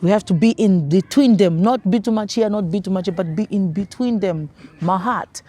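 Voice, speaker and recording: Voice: mid-range voice, normal speed, warm tone. Earnest speaker explaining with emphasis. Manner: earnest, emphatic, repeats words while searching. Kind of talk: reflective interview talk.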